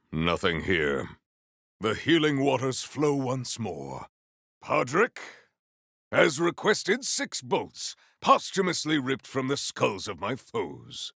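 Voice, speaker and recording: An actor playing a proud, formal, honor-bound warrior in a video game, with archaic, theatrical diction. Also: male voice, mid-range voice, vocal fry, fairly bright tone, dry recording, clean studio sound, exaggerated voice. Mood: courteous, measured.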